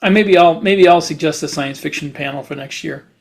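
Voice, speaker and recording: A middle-aged man talks casually as a podcast co-host. His voice sits a little high for a man, and he pieces his sentences together haltingly, thinking aloud as he goes. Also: creaky. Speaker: podcast co-host, science fiction author. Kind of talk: casual chat.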